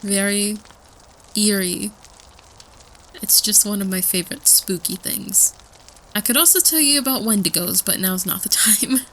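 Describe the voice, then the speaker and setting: A woman's voice: mid-range voice, moderate pace, pauses often, a bit bright, close to an everyday voice, poor audio. A woman playing a student who shares eerie monster lore in a casual, hesitant way, in a campfire audio roleplay. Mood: spooked enthusiasm. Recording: faint ambient noise.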